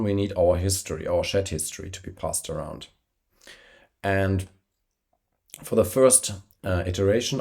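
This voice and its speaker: A man with a slight cold, hosting a screen-recorded software tutorial while traveling. He talks with many fillers and self-corrections, using a poor travel microphone. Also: low-pitched. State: has a cold, slightly congested. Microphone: poor-quality travel microphone.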